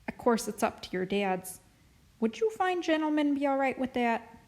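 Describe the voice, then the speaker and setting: A woman's voice: high voice, very animated, normal speed, darkish tone, voice pushed up. Woman voicing a folksy, chatty, eccentric neighbor lady. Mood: friendly, coaxing. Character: eccentric, folksy, talkative older neighbor lady, kindly and grateful. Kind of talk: audiobook character voice.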